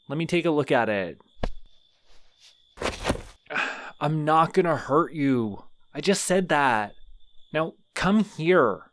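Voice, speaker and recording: A man's voice: a little high, a little hiss or hum. A man voicing a friendly, lonely male skeleton in an audio roleplay, rambling and apologizing a lot to the listener. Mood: helpful. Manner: rambling, sheepish, over-apologetic.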